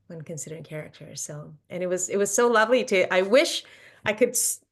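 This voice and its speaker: A woman's voice: on the low side, animated, a little fast. A woman who works as an actor, giving casual feedback in a group discussion. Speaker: actor and stage-direction narrator.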